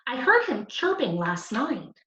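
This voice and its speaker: Woman voicing an eager, high-pitched young boy. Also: sing-song, a little slow, fairly bright tone. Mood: curious, eager. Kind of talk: children's storybook character reading. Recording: roomy, slightly dull sound.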